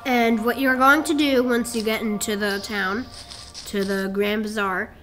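A woman's voice: fairly high pitch, fairly even intonation, unhurried, fairly bright tone, rough recording. A woman giving a casual game walkthrough, talking the viewer through steps in a rambling, self-correcting way. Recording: game music playing behind the voice.

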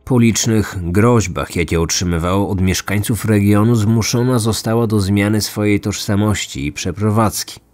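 A man's voice: lowish voice, darkish tone. A man narrating a scripted true-crime podcast. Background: faint music.

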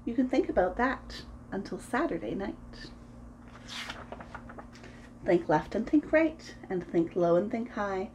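A woman in her thirties reading a picture book aloud to preschoolers in an expressive, sing-song way, as host of a story time. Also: mid-range voice, slow pace, pauses often, slight background noise. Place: small room at home, indoors.

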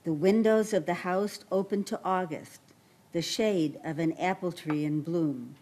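A woman's voice: on the low side, a little slow, darkish tone. An older woman reading a poem aloud at a podium microphone in a library meeting room. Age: older, 60s or above. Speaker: poetry reader.

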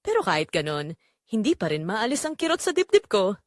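A woman's voice: mid-range voice, very animated, brisk, no room sound, clean studio sound. A young woman with a Filipino accent narrating a scripted first-person romance story in an emotive, reflective way.

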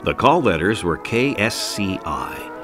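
A man's voice: on the low side, big pitch swings, a little slow, over music. A man reading scripted narration for a documentary.

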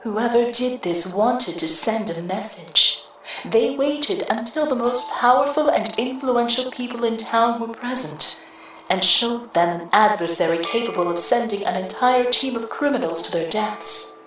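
An actress playing a mysterious psychic heroine who speaks in a measured, grave way. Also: female voice, on the high side, a bit bright, slight background noise. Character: mysterious psychic woman hero, calm and commanding. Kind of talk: radio drama acting. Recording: echoey, distant with music underneath.

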